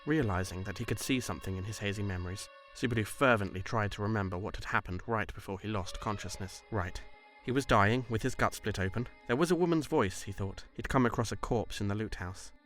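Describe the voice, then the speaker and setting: Low-voiced male story narrator. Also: brisk, few pauses, fairly bright tone, faint music behind.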